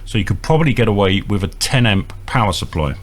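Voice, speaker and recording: A man's voice: lowish voice, animated, fast. A man presenting an informal, hands-on equipment demonstration, explaining step by step with a hesitant delivery. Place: indoors at a small equipment bench. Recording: audible electrical hum and noise.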